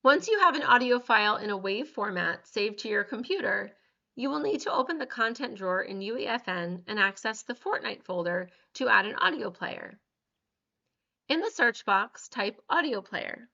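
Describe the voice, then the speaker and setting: A woman reading scripted step-by-step instructions as a tutorial narrator, measured and clearly enunciated. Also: fairly high pitch, expressive, pushed and bright, highs cut off.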